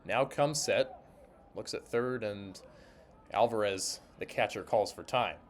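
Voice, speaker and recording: A man's voice: lowish voice, takes it slowly. Male baseball announcer over ambient noise. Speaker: sports broadcaster, interviewer and play-by-play announcer. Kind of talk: baseball radio play-by-play commentary. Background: ambience of a sparsely filled ballpark.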